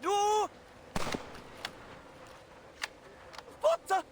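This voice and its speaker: Very high-voiced young private. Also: male voice, lively intonation, brisk, heavy background noise. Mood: halting, shouting. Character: young, eager, deferential German private in the trenches.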